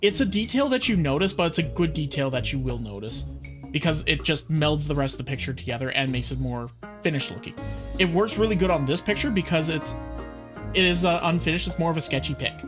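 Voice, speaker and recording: A host reacting to an art video and chatting casually about drawing techniques, with relaxed, rambling delivery and plenty of fillers. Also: medium pitch, brisk. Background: background music.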